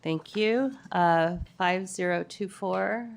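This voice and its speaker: A woman chairing a council meeting, calling up speakers with halting, apologetic remarks over hard-to-read names. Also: fairly low pitch, gravelly, a little hiss or hum. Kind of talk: meeting procedure.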